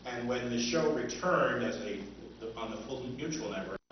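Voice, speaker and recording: An American man giving an informal seminar talk, with a hesitant, halting delivery. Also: medium pitch, animated. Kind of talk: informal lecture. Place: indoor convention seminar room. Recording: echoey noisy hall, distant, dull.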